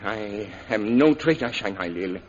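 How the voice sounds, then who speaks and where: A man's voice: on the low side, lively intonation, a little slow. An actor playing a fat, oily general, a sly but easily rattled henchman with stilted, formal phrasing, in 1930s radio serial style. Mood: alarmed, defensive. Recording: muffled, noisy 1930s radio recording.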